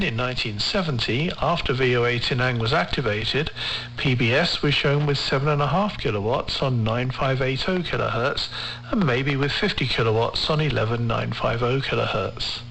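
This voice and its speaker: A man reads a scripted radio feature in a measured, formal, carefully enunciated way, as a presenter on a shortwave broadcast. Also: medium pitch, fairly bright tone, slight background noise, low-quality recording.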